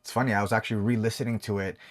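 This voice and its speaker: A man's voice: on the low side, little pitch movement, racing. A man hosting a podcast, talking in an enthusiastic, rambling way with lots of fillers. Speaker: podcast host. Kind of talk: casual podcast conversation.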